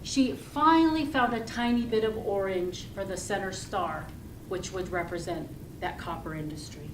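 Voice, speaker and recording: A woman giving a rehearsed storytelling speech to an audience, speaking deliberately and expressively with pauses. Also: medium pitch. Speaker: club speaker giving a tall tale speech. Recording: roomy, distant mic with loud noise.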